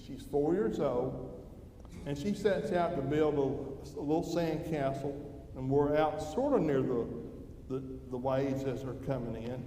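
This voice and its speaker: A man's voice: medium pitch, measured pace, warm tone. An older man giving a church devotional in a folksy, conversational manner, putting questions to his listeners. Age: older, grandfather. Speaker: devotional speaker at a church service. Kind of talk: devotional talk. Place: church hall during an evening service. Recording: roomy, echoing hall with background noise.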